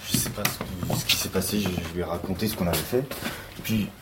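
An actor playing an earnest, idealistic and easily agitated police colleague in a naturalistic audio drama, talking in a wordy way. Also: male voice, deep voice, steady tone, fast talker, background noise, natural voice. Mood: upset, indignant. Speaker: actor in a fiction podcast.

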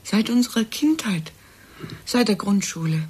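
Eine Schauspielerin spielt in einem Hörspiel eine bedrückte, zurückhaltende Frau, die höflich und zögernd spricht. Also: female voice, medium pitch, animated, measured pace, a little mellow. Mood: shaken, brooding. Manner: hesitant, reserved, polite. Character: troubled, guarded middle-class woman, evasive and distracted. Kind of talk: naturalistic German radio drama. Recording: clearly noisy scene ambience.

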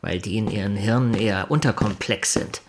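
An actor playing a sober, geeky systems consultant stunned by love in a radio drama, speaking in a wry, halting way. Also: male voice, lowish voice, noisy background, natural voice. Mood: exasperated.

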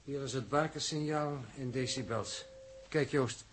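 An actor playing a calm, methodical spaceship navigator in a 1960s radio drama, precise and matter-of-fact. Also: male voice, mid-range voice, unhurried, slight background noise, natural voice, low-quality recording. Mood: calm, factual.

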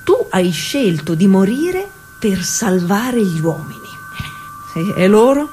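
A woman's voice: medium pitch, sing-song, a little hiss or hum. An actress playing a defiant working-class woman cyclist confiding in Jesus in a colloquial theatre monologue. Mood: bitter, defiant. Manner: confiding, colloquial, with drawn-out pauses and hushed asides. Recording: music playing behind the voice.

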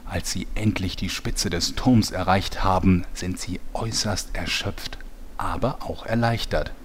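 An actor playing a vain, washed-up, petulant storyteller with a pompous, self-important delivery in a parody audio play. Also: male voice, deep voice, measured pace, slight background noise. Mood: dramatic narrating. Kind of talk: German parody audio play, dramatic narration.

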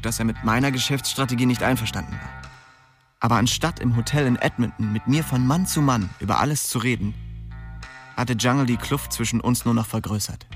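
A man's voice: mid-range voice, natural voice. Actor as a brooding young crime-drama man over music. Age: young adult. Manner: coarse, slangy, brooding. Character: hard-boiled young small-town drug dealer, weary and bitter. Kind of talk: radio drama, first-person narration and dialogue. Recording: music behind the voice.

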